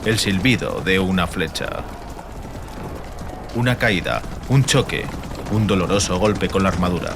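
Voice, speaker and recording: A man reads a fantasy audiobook as narrator, with a solemn, measured and theatrical delivery. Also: deep voice, animated, vocal fry, background noise. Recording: music bed under the voice. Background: music.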